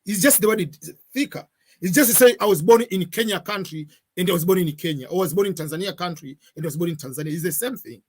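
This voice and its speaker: A man's voice: sits high, fast. A middle-aged man, hosting a casual live question-and-answer stream from a room at home, talking hesitantly with many fillers and mixing English with Swahili. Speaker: livestream host answering viewer questions.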